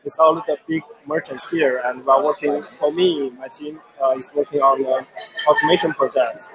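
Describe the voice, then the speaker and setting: A man from China, Chinese his first language, answering interview questions in halting English with many pauses. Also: fairly high pitch, steady tone, music in the background, low-quality recording. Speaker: software developer guest. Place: busy event with crowd.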